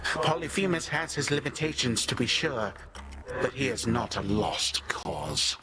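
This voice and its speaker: A man's voice: medium pitch, big pitch swings, a little slow, crowd noise. An actor playing an ancient titan tyrant in a video game, pompous and sardonic, speaking in formal archaic phrases with menacing grandfatherly condescension. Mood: haughty, sardonic. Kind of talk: theatrical video game villain acting. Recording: studio voice over game music.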